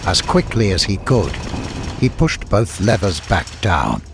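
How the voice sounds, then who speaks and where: Lower-pitched man narrating a dramatic story. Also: big pitch swings, measured pace, creaky, noisy background.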